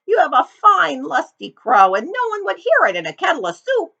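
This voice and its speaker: A woman's voice: very high voice, wildly swooping pitch, moderate pace, creaky, bright, forceful tone, pitched above the performer's own voice, exaggerated voice. Woman voicing kindly old donkey, hearty, coaxing, storybook tale, slightly dull sound. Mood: encouraging. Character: old male donkey, friendly and encouraging.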